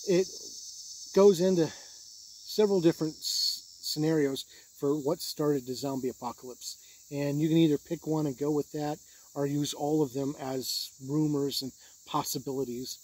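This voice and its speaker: A man with a husky, somewhat high voice talks through a review off the cuff. His delivery is plain-spoken and matter-of-fact, like an informal hobby reviewer explaining how things work. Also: steady tone, moderate pace, a little mellow, rough recording. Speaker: tabletop game reviewer. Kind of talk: informal unscripted review to camera.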